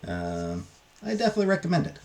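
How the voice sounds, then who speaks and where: A man talking casually and unscripted as a book reviewer, in a halting, hesitant way with trailing asides. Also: fairly high pitch, huge pitch swings, creaky, a little mellow.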